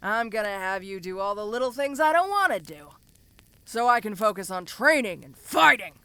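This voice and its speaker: A woman's voice: mid-range voice, sing-song, normal speed, bright, forceful tone, clear, resonant tone, dry recording. A woman voicing a domineering giant ogre mercenary in an audio roleplay, speaking to the listener in a casual, commanding, teasing way. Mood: reassuring, teasing. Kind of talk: first-person audio roleplay addressed to the listener.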